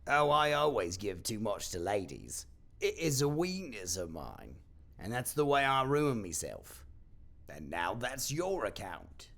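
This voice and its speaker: An actor playing a sly, greedy old rag-and-bone shopkeeper in an audio play, haggling in a wheedling, mock-generous way. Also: male voice, on the low side, sing-song, measured pace, fairly bright tone, heavily acted. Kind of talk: audio play character acting.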